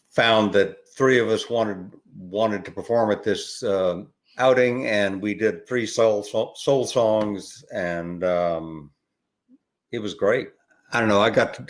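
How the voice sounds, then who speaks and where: Older man, low voice, easygoing guest with many ums and restarts. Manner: rambling, halting with many fillers and restarts. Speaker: podcast guest, ukulele educator. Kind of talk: relaxed conversational interview.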